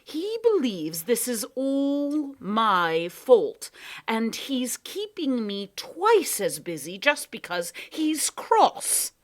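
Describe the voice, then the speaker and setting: A woman's voice: a little high, big pitch swings, extremely slow, voice pushed up, full character voice. A woman voicing a scheming male creature who speaks casually and with mock politeness. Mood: smug. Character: smooth, scheming male creature, cheerfully menacing.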